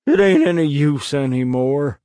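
A man's voice: fairly high pitch, a little slow, dark tone, no room sound, studio quality, pitched above the performer's own voice, natural voice. A man voicing a frightened accused man who pleads and sobs as he protests his innocence, in a storybook reading. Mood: despairing. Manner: pleading, tearful.

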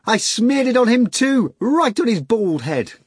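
A man voicing a brash young woman, a maid turned witch, shrill and gleeful. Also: very animated, measured pace, breathy edge, no echo, clean studio sound, heavily acted.

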